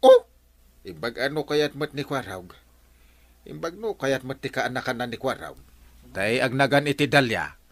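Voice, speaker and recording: Excitable Ilocano husband, wildly swinging pitch. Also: male voice, moderate pace, long pauses. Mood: startled, questioning. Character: excitable village husband, comically suspicious and questioning. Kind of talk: Ilocano comedy radio drama acting.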